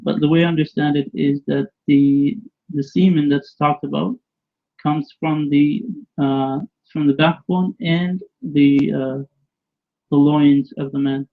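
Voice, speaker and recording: A man calling into an online debate, answering in a hesitant, measured way with many fillers. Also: fairly high pitch, steady tone, vocal fry. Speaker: debate caller. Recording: tinny phone-like call line.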